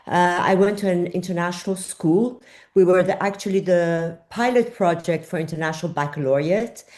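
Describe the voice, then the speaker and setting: An older woman from Iran, a journalist answering interview questions in a thoughtful way, often pausing with fillers as she looks for words. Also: on the low side, a little mellow. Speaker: journalist guest.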